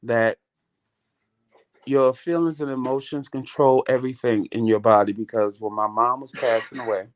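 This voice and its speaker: A middle-aged man with a light voice chats his way through stories on his vlog. He is animated and blunt, curses freely and stops to greet viewers by name. His pitch sits at an ordinary middle height for a man. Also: normal speed. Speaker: vlog host. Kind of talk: casual storytelling chat.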